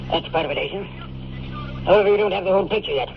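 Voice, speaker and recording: A blustery old mayor, hoarse and gravelly, confiding his worries in a deliberate way. His voice sits slightly high for a man. It comes from an old radio drama, muffled and noisy. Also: crowd noise. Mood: troubled. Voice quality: hoarse, low-speaking, gravelly. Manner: confiding, deliberate, throat-clearing. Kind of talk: 1950s radio drama acting.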